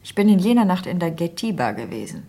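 An actress playing a composed, probing woman doctor in a German radio crime drama, speaking formally and with measured care in a husky voice. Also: fairly low pitch, very animated, mellow, full character voice.

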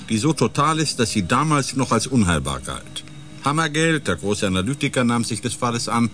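An actor playing a level-headed spaceship psychologist in a radio drama, explaining in a conciliatory, lecturing way. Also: male voice, medium pitch, a bit bright, lightly acted. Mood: explanatory. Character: calm, balancing male ship psychologist and biologist.

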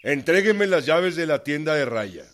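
Un actor que interpreta en una radionovela a un general revolucionario tajante y autoritario, que da órdenes secas. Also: male voice, on the high side, very animated, unhurried, fairly bright tone. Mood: stern. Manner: terse, authoritative, barking orders. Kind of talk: radio drama acting.

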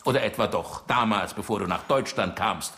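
An actor playing a Soviet dictator in a German radio drama, playful and mock-jovial with a probing, menacing edge. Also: male voice, lowish voice, moderate pace, bright, forceful tone.

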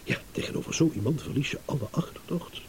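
An actor playing a shrewd, amused businessman in a radio drama, teasing and insinuating with hesitant pauses. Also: mid-range voice, expressive, darkish tone, lightly acted. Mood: amused, sly. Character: shrewd, amused businessman, a blackmail victim turning schemer. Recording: hissy old radio play recording.

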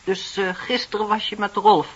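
Actress as husky, sympathetic confidante, muffled sound. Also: female voice, mid-range voice, flat delivery, lightly acted. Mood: gently probing. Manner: soothing, measured, gently prompting. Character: sympathetic, knowing female confidante, gently probing. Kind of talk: Dutch radio play acting. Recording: muffled, dull radio play recording.

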